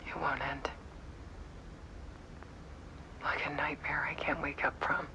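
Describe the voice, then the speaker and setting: An actress playing an exhausted, haunted woman photographer in a game cutscene, giving a halting, quietly desperate confession in a breathy whisper. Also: deep voice, level tone, a little hiss or hum, low-quality recording. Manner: halting, hushed. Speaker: actor in a live-action video game cutscene. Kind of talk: confessional dramatic monologue.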